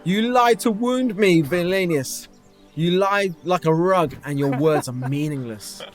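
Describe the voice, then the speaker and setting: A man's voice: high voice, unhurried, faint music behind. A man playing a righteous, defiant superhero in a script read-through, earnest and declamatory. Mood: wounded defiance. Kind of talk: hobby script read-through, heroic acting.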